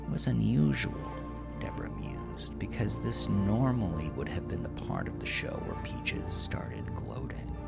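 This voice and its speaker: Male narrator for horror audio drama: low, unhurried, dramatic, music underneath. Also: sing-song, gravelly.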